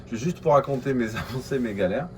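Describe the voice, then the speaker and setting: A man from Algeria with an Algerian accent, talking casually to his vlog camera in a coworking space. He speaks quickly, with many fillers and restarts. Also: mid-range voice, animated, slight background noise. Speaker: vlogger.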